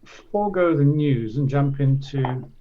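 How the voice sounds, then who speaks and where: A man's voice: medium pitch, expressive, measured pace. A man co-hosting a show in casual chat, sweary and self-deprecating. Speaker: show co-host and reviewer. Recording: dull, noisy microphone audio.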